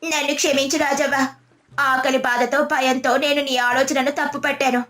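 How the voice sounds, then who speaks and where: A woman voicing a humbled, grateful village woman in an animated moral story, speaking in an earnest, emotive way. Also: sits high, moderate pace. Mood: apologetic, hopeful.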